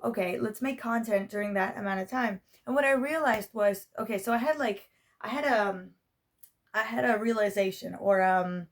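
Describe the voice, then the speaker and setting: A young adult woman chatting casually in a vlog, with a slightly raspy voice and a rambling, self-interrupting manner. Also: a little high. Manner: rambling, self-interrupting, frequent check-in tags. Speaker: vlogger.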